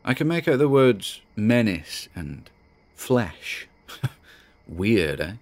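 A man's voice: lowish voice, very animated, very slow, heavily acted. A man with a British accent playing an obsessive, chatty amateur investigator, recording informal, wry audio logs in an audio drama. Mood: eager, obsessive. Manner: informal, self-interrupting, wry. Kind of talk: audio log monologue in an audio drama.

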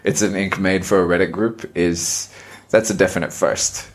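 A man with an Australian accent, co-hosting a podcast in relaxed, rambling casual chat. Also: low voice, normal speed. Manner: relaxed, self-deprecating, rambling. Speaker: podcast host.

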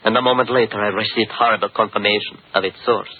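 Husky, haunted count in old radio. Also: male voice, on the low side, fairly even intonation, fast talker. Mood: haunted, dread. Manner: formal, dramatic, grave. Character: haunted, fearful aristocratic count, polite but desperate. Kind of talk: 1940s radio mystery acting. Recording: muffled, lo-fi 1940s radio recording.

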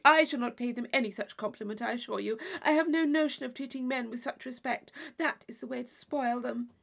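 A woman's voice: on the high side, fairly quick, vocal fry, fairly bright tone. A woman voicing an affected, gushing young society lady in an audiobook of a classic novel, with theatrical emphasis. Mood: breezy, vain.

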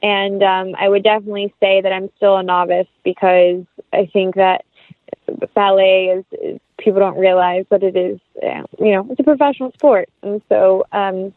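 Over a narrow, phone-like connection with a dry sound, a woman talks casually as an interview guest. Her voice is mid-pitched, and she is chatty and enthusiastic. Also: fairly even intonation, normal speed, no room sound.

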